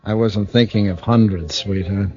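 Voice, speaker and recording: An actor playing a sly, wheedling American ex-convict blackmailer in an old-time radio drama, slangy and falsely sweet with menace underneath. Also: male voice, fairly low pitch, steady tone, normal speed, darkish tone, muffled recording, faint music behind, close to an everyday voice. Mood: pressing. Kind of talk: 1940s radio drama acting.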